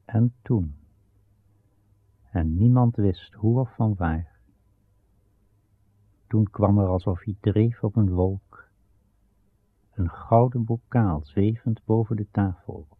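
A low-voiced man tells an old legend as a radio play narrator, slow and grave. His voice is husky with a gravelly edge. The recording is muffled and narrow. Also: no echo. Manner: grave, measured, dramatic pauses. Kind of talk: solemn literary storytelling of Arthurian legend.

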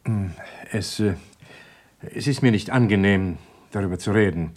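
An actor playing an uneasy, guarded doctor in a German radio crime drama, speaking haltingly and evasively. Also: male voice, on the low side, darkish tone, natural voice. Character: uneasy male doctor, guarded jealous husband.

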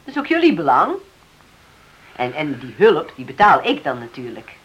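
Animated, shrewd farm woman. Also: sits low, normal speed, poor audio. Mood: firm. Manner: businesslike, self-assured. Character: shrewd, firm widowed farm owner driving a bargain. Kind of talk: Dutch radio drama acting.

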